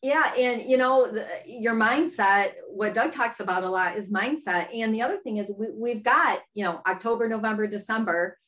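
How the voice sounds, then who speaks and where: A woman with a slightly squeaky voice, chatting candidly and upbeat about real estate work in a casual livestream. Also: moderate pace, hardly any pauses, fairly bright tone. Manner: candid and upbeat, laughs at herself. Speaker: real estate broker support staff. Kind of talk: casual chat.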